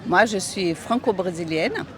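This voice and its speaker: A woman's voice: fairly low pitch, animated, measured pace, a crowd in the background. A woman from Brazil giving a thoughtful, explanatory answer in a street interview. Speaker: passer-by. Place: city street outdoors. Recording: noisy outdoor street recording.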